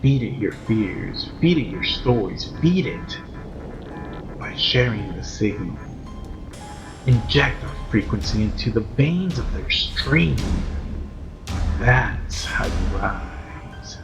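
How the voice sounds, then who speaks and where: A man hosting a horror story series, narrating a script in a theatrical, ominous, deliberate manner as a sinister keeper of shadows. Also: lowish voice, expressive, music in the background. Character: sinister keeper of shadows addressing his followers.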